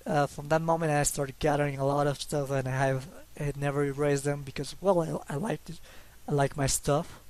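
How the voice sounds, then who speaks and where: A man's voice: a little high, steady tone, fairly quick, hardly any pauses, darkish tone. Hesitant young man with a Mexican accent. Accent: Mexican Spanish. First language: Spanish. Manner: hesitant, many fillers and restarts; self-conscious, laughs a little. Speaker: hobby artist. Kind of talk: casual talk over his drawings.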